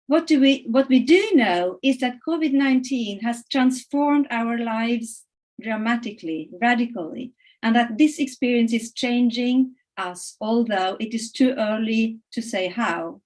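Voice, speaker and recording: A middle-aged woman whose first languages are Norwegian and Italian, giving a measured, earnest welcome address over a video call, with frequent hesitations and restarts. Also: fairly high pitch, a little mellow. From Norway and Italy. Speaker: conference opener. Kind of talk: formal welcome address, read.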